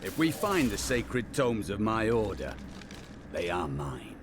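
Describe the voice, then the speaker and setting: A man's voice: fairly low pitch, big pitch swings, takes it slowly, noisy background. A man playing a bold, righteous Templar warrior in a video game, with a formal, declamatory delivery. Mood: resolute. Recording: loud music behind the voice.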